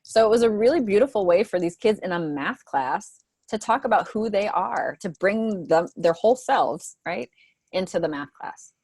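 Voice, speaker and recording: A woman's voice: mid-range voice, lively intonation, moderate pace, darkish tone, slightly rough, no echo. A woman presenting to teachers on a mentoring video call, talking conversationally with frequent "um" and "right?" asides.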